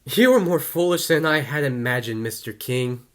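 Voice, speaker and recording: A man's voice: medium pitch, expressive, moderate pace, lightly acted. Man voicing a menacing, sneering villain duelist. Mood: scornful. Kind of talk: fan audio drama acting.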